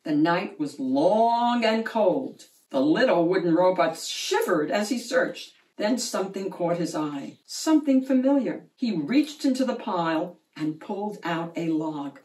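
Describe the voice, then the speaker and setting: A woman reading a children's picture book aloud in an expressive, animated storytelling manner. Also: lowish voice, unhurried, hardly any pauses. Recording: roomy, distant mic.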